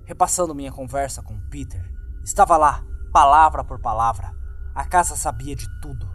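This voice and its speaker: Brazilian male horror narrator, mid-range voice, suspenseful and dramatic, clear music bed underneath. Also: mid-range voice. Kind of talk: dramatic scripted creepypasta narration.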